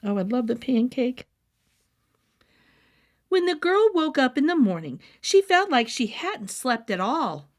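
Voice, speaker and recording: A woman's voice: sits high, a little slow, pauses often. A woman narrating a children's story expressively, as a read-aloud host with a playful manner. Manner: animated, with playful asides.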